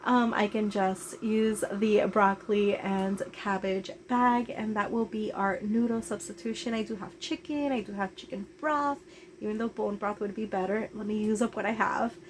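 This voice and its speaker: A woman's voice: mid-range voice, fairly even intonation, normal speed, slight background noise. A woman with a stuffy head cold, chatting casually through a cooking vlog in a small RV kitchen, with lots of "like" and "um". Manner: chatty, with many "like" and "um" fillers. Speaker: RV travel vlogger.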